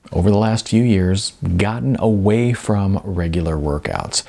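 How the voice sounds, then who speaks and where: A man's voice: lowish voice, a little mellow. A man, an author reading from his own novel in an audiobook narration style with casual asides.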